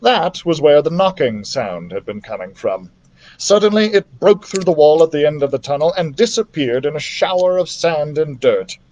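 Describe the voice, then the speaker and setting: A man reading a children's adventure novel aloud as a story-time host, with an animated, theatrical delivery. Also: a little high, moderate pace, highs cut off.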